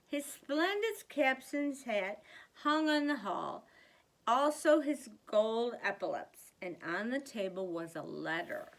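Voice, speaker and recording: A woman reading a children's picture book aloud as a storyteller, deliberately and with theatrical emphasis. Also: on the high side, expressive, poor audio.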